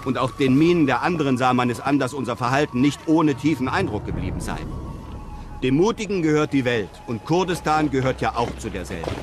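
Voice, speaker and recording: Casting: male actor for fearless traveller-narrator, mid-pitched, measured and self-assured, radio play with clear background noise. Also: close to an everyday voice. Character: composed, fearless European traveller narrating his adventures. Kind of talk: scripted narration and radio drama acting.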